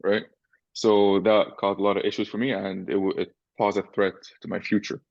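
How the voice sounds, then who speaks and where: Guest: young Syria-born man, low voice, dry and slightly dull recording, explains patiently. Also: little pitch movement, normal speed, creaky, a little mellow, dry recording. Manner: explains step by step, often tags "right?". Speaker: podcast guest, entrepreneur. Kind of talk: casual interview chat.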